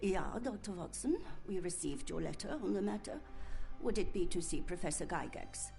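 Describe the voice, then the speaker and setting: An actress playing a brisk, businesslike asylum nurse in a game. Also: female voice, fairly low pitch, lively intonation, creaky, dark tone, soft background music.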